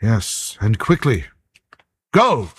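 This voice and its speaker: An actor playing a steady, solemn apostle in an audio Bible drama, speaking with measured authority. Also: male voice, fairly low pitch, breathy edge, full character voice. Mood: resolute, exhorting. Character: steady, solemn male apostle leading early believers.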